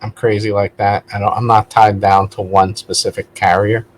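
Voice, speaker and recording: A man's voice: lowish voice, level tone, moderate pace, a little hiss or hum, low-quality recording. A man talking casually as a vlog host, digressing and correcting himself as he goes in a conversational way. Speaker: video host, vlogger. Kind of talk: casual rambling spoken vlog.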